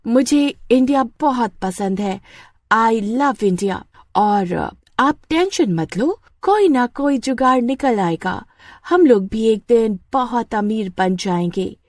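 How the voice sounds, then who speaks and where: A woman's voice: a little high, animated, slow, dry recording, studio quality. A woman voicing a cheerful, earnest young English bride who mixes English phrases into her Hindi in a moral story. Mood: earnest, hopeful. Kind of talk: animated moral story dialogue.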